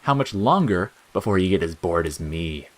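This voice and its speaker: A man's voice: sits low, animated, normal speed. A man voicing a bitter, bored talking flower in an audio story, sarcastic and snappish. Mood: taunting. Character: sneering bitter talking flower, spiteful bored male monster. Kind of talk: audio fiction character acting.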